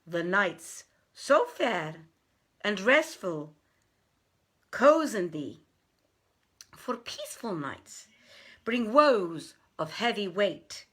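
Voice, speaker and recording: A woman voicing a wise male wazir who gravely counsels his king in formal, emphatic, declamatory storybook language. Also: mid-range voice, very animated, drawn-out words, pauses often, fairly bright tone, full character voice. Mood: solemn warning. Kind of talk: storybook reading of an old tale.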